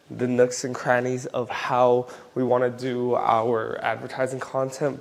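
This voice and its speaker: A real estate marketer chatting in an upbeat way while filming inside a house for sale. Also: male voice, medium pitch, slight background noise. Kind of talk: casual on-location vlog. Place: house being shown, indoors.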